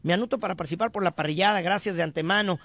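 A Mexican man hosting a sports radio show, reading listener messages in brisk, chatty, teasing banter. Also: high-pitched, fairly bright tone. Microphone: studio microphone.

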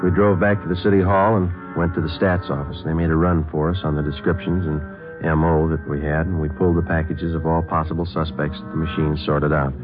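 Deadpan american cop narrating his case, really low voice, old lo-fi radio with music. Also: brisk. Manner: deadpan, matter-of-fact, polite. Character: terse, businesslike male police detective narrating his case. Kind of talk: 1950s radio police drama, clipped procedural narration. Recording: narrow, lo-fi vintage radio, music behind.

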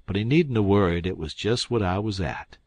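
A man's voice: lowish voice, brisk, a little mellow, dry recording, natural voice. A man voicing a smug, long-winded old con man in an audiobook, with pompous, falsely learned talk and folksy grammar. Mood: pious, smooth.

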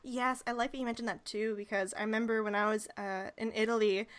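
A woman's voice: on the high side, steady tone, quick pace, crowd noise. A woman hosting a podcast, chatting casually and gushing with praise for her guest. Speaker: podcast host. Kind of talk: casual interview chat.

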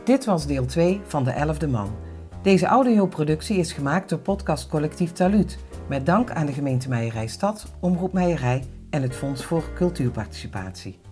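Low-voiced woman co-narrating a documentary. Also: animated, normal speed, dark tone, faint music behind. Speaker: podcast co-narrator.

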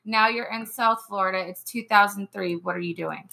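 A woman hosting a casual podcast, prompting the guest with questions and quick reactions. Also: mid-range voice, steady tone, gravelly, sharp tone. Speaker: host.

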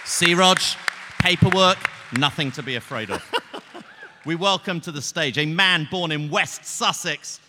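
A man's voice: fairly high pitch, measured pace, a crowd in the background, background noise. A British man co-hosting a live football show on stage with a playful delivery. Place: on stage in a large theater. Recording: reverberant theater hall.

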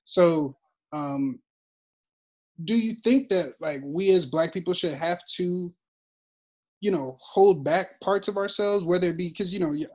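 A man's voice: high voice, unhurried, a little mellow. A young man moderating a casual group discussion, thoughtful and rambling with many fillers.

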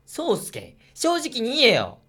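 A woman's voice: fairly high pitch, huge pitch swings, slow, fairly bright tone, exaggerated voice. A woman playing an accusing, blunt young schoolboy with a Kansai accent in a radio drama.

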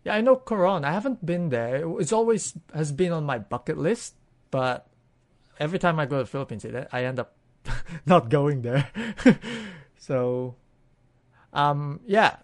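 A woman hosting a relaxed interview, asking questions informally and feeling her way into each one. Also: low voice, unhurried. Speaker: interviewer, host. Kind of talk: casual conversational interviewing.

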